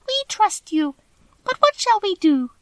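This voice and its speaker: A woman's voice: very high voice, big pitch swings, measured pace, no echo, pitched above the performer's own voice, exaggerated voice, poor audio. A woman voicing a tiny, dignified mouse queen in a storybook, with formal, gracious manners. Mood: regal, willing. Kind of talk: storybook character voice.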